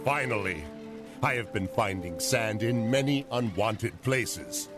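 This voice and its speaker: A man's voice: on the low side, animated, slow pace, over music. A man playing a wry, irreverent Chinese sailor and loyal sidekick in a video game cutscene, with a dry, sardonic delivery. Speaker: game character, captain's companion.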